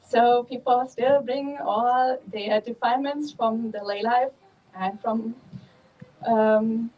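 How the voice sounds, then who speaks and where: A woman from Germany with a German accent, a Buddhist nun giving a calm, measured dharma talk at a monastery, pausing often with ums. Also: a little high, highs cut off.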